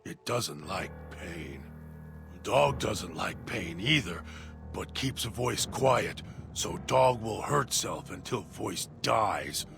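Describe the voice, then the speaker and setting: A man voicing a deranged, hungry male dog-creature who talks of himself in halting, broken third-person speech. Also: on the low side, animated, heavily acted. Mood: eerie. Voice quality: gravelly. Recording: music bed underneath.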